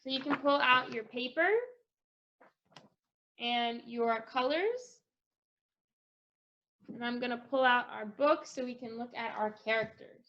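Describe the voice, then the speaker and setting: A woman presenting a casual step-by-step craft tutorial for children, speaking in an instructional way with pauses between phrases. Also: a little high, lo-fi sound. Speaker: craft presenter for a children's read-aloud project.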